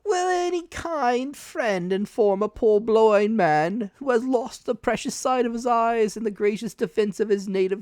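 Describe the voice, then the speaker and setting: A man voicing a sinister blind beggar pirate from a storybook, wheedling and cruel in a drawn-out sing-song. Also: very high voice, clean tone, no echo, clean studio sound, voice pushed up. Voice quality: soft-spoken.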